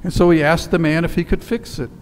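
A man in his sixties preaching a sermon, telling a story and dropping to a quiet, slow emphasis on key words, standing in a church sanctuary on a headset mic. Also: on the high side, steady tone. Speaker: priest. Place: church sanctuary in front of altar. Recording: long church reverb with faint ambience.

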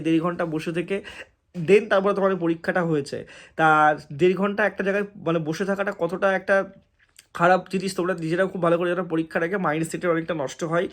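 A man with a West Bengal Bengali accent speaking as an online exam-coaching host, giving informal advice to students in an earnest, cautioning, emphatic way. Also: high-pitched. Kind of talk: informal advisory talk to students.

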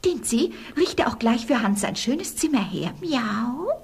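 Fairy tale cat mistress: high, breathy, commanding, punctuates phrases with meows. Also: female voice, sing-song, measured pace, a little mellow, exaggerated voice. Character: small female cat, mysterious commanding mistress of a castle. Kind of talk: fairy tale audio play acting.

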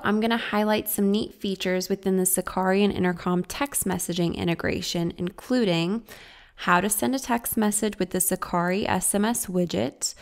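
A woman presenting a software tutorial in an informal, explanatory way, like a casual guided walkthrough. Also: medium pitch. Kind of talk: casual scripted screen-share walkthrough.

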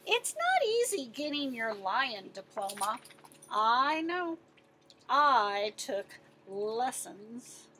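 A woman in her fifties reading a picture book aloud as a teacher, expressive and putting questions to her listeners. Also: fairly high pitch, drawn-out words, noisy background. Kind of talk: animated children's picture-book read-aloud.

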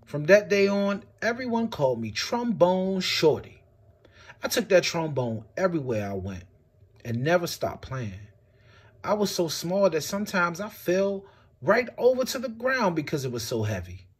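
A man reading a picture book aloud with expressive, swinging delivery. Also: normal speed, husky. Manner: expressive storytelling with big swings in pitch and loudness.

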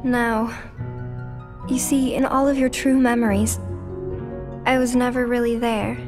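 A woman plays a gentle, sorrowful teenage girl in a video game. Her voice is high and soft, hesitant and apologetic. Music plays behind her. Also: level tone, measured pace, long reverb tail, natural voice. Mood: remorseful. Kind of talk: animated game cutscene acting.

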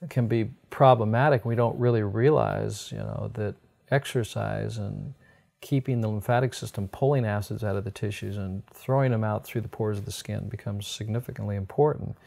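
Low, creaky middle-aged American man. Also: steady tone, normal speed, a little mellow. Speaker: health doctor and program host. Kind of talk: conversational health advice interview. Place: indoors on a sofa in a book-lined room.

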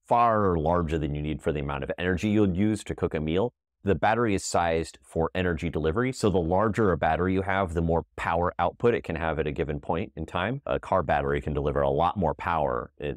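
A man's voice: low-pitched, no echo, clean studio sound. A man talking casually as an interview guest, explaining things in a plain, conversational way. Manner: conversational, explains with everyday analogies. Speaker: guest, appliance company founder.

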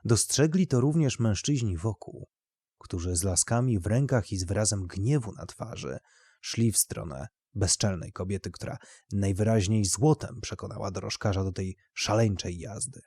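A man's voice: lowish voice, normal speed, a little mellow, breathy edge, no room sound, clean studio sound. A man narrating literary fiction as a scripted audio story.

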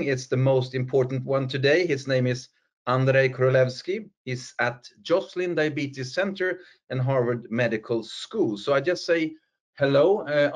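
A man from Sweden with a Swedish accent, hosting an interview over a video call in a plain, friendly way. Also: medium pitch. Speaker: host. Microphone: webcam on video call.

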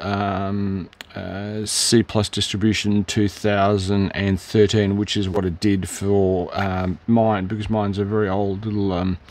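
A hoarse middle-aged man, rambling through a casual product demo in his hobby shop, with lots of fillers and self-corrections. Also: on the low side. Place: hobby shop, shelves behind.

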